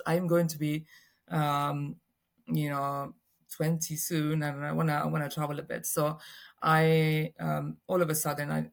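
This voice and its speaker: Italian woman with a gravelly voice. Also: deep voice. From Italy. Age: 40s. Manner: hesitant, many "uh" and "um" fillers. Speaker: guest psychologist. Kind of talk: casual interview.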